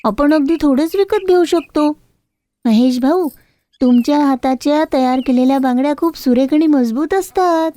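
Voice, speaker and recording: Lady doing an appreciative village woman customer, chatty, really high storybook voice. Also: normal speed, warm tone, no echo, natural voice. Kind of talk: storybook character voice.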